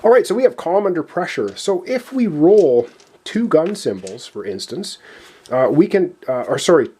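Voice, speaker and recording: Man, mellow tone, husky edge, casual game tutorial, explanatory, step by step. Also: fairly high pitch, big pitch swings, moderate pace. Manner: explanatory, talking viewers through step by step. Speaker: board game player and presenter. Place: indoors at a gaming table.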